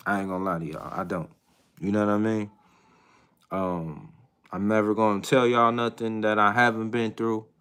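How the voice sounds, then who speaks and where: Hesitant, bright-voiced man in his thirties. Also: on the low side, steady tone, clear, resonant tone. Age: 30s. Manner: hesitant and reflective, many pauses and restarts. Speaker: livestream host. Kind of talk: casual live chat with viewers.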